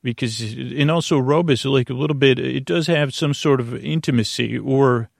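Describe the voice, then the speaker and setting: A middle-aged man hosting a bedtime podcast, rambling haltingly through stammered restarts and tangents with gently absurd, self-deprecating humour. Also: medium pitch, vocal fry, dry recording, studio quality. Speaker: podcast host.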